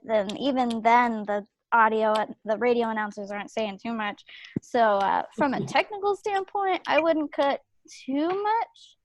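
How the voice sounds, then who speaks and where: A woman talking casually and emphatically in a group feedback discussion on a video call. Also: fairly high pitch. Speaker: table read participant giving feedback.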